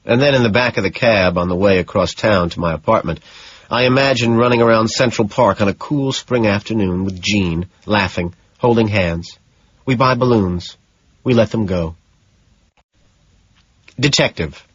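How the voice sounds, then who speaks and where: A man's voice: on the low side, moderate pace, a little hiss or hum. A man narrating a novel in the first person as a scripted audiobook. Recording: dull, echoey room sound.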